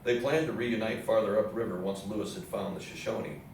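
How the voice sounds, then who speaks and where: Echoey, low-voiced man narrating history. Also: fairly even intonation, fairly quick, gravelly, darkish tone. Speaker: narrator in a readers theatre play. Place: indoor theatre room with audience program. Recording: echoey hall, distant mic, faint noise.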